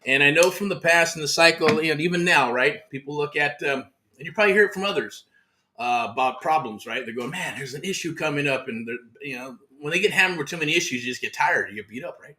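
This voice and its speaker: A man's voice: on the high side, fast, pushed and bright. A man hosting a motivational livestream about real estate, upbeat and repetitive, full of pep-talk slang, talking outdoors. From Southern California. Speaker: real estate mentor host. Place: outdoors in the heat.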